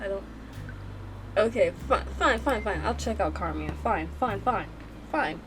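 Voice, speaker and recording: A woman's voice: medium pitch, lively intonation, measured pace. A woman giving casual gameplay reaction commentary as a let's play host. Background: background music.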